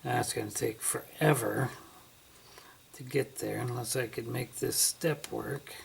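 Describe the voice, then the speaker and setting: A man's voice: medium pitch, steady tone, measured pace. A man giving a casual, unscripted hands-on product review, thinking aloud as he works indoors at home. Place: inside a house, under a kitchen-type cupboard. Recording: clear radio static and background hiss.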